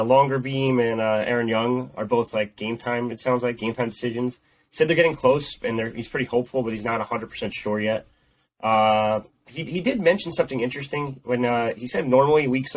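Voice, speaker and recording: A man's voice: medium pitch, low-quality recording. This man talks through a heavy head cold, so his voice comes out nasal and stuffed up. He co-hosts a casual sports podcast and rambles, circling back over his own points. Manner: rambling, repeats himself. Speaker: podcast co-host.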